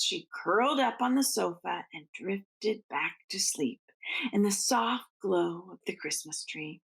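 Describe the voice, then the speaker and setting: A woman reads a picture book to children in an unhurried, creaky voice. She stretches out key words for emphasis and slips into soft, casual asides. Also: on the high side, rough recording. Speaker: teacher reading a picture book aloud to children. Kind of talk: expressive read-aloud storytelling with casual asides.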